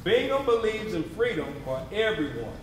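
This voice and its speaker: A man delivering scripted theatrical narration on stage in an animated, emphatic, conversational way with wry asides. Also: high voice, moderate pace, slight background noise. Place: theatre stage before a live audience. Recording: echoey theatre, distant mic, audience around. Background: audience murmurs and call-and-response.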